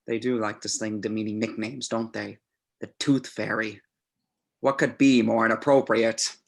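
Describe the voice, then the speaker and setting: A man playing an obsessed serial killer reading out his formal, measured letter to his idol in a screenplay table read. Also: lowish voice, little pitch movement, gravelly. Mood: aggrieved.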